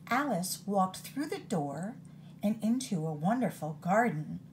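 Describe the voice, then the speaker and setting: A woman reading a picture book aloud for storytime, her voice rising and falling expressively. She takes it slowly and deliberately, recorded close on a phone above the page. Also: mid-range voice, sing-song, slight background noise.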